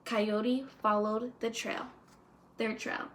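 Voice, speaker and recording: A woman teacher reading a children's story aloud expressively, deliberate and simple for young listeners. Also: fairly high pitch, flat delivery, a little hiss or hum.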